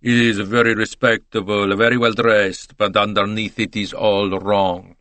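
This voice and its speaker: A man's voice: fairly low pitch, level tone, measured pace, fairly bright tone, no echo. A man voicing a voluble, boastful, beaming salesman in an audiobook. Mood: knowing. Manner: gushing, eager, philosophical.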